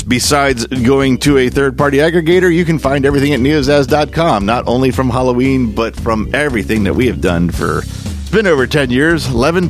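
Male podcast host, casual outro, faint music under. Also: mid-range voice. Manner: chatty, rambling asides.

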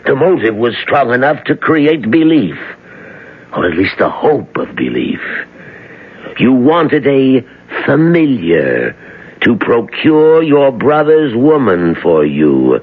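An actor in a radio drama playing a pompous, sinister philosophy professor and master of Satanism, with pedantic, theatrical delivery. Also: male voice, mid-range voice, big pitch swings, takes it slowly, husky, full character voice. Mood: lecturing, reproachful. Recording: muffled old radio broadcast.

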